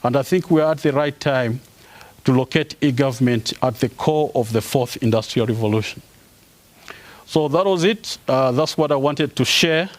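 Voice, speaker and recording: A man in his forties from Zambia, giving a formal academic presentation as a professor. He pauses often on "uh" and restarts his phrases. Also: mid-range voice. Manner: halting, with frequent "uh" pauses and restarts. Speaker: professor giving an inaugural lecture.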